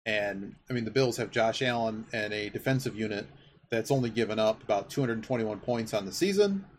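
A man's voice: fairly low pitch, brisk. Enthusiastic, rambling man over background noise. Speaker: podcast host. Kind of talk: casual sports chat. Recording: clearly audible background noise.

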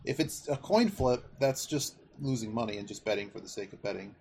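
A man's voice: fairly low pitch, brisk, darkish tone, a little hiss or hum. A man hosting a casual sports betting chat, talking in a rambling, analytical way as he thinks aloud. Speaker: podcast host.

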